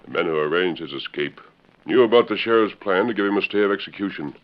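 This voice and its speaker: A resolute masked cowboy hero in a radio western. His voice is very low and commanding, with formal diction, on a dull vintage recording. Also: lively intonation, fast talker. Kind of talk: 1940s radio western acting.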